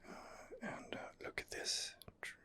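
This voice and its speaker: A man's voice: measured pace, sharp tone. A man murmuring hesitantly as he hosts an ASMR gaming role-play, thinking aloud close to the microphone. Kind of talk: whispered ASMR role-play gameplay narration.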